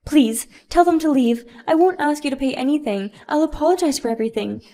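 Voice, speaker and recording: A woman doing a spoiled entitled brat, high whiny voice, indignant and loud. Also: lively intonation, moderate pace. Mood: pleading. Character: spoiled, shrill entitled grown woman, bratty.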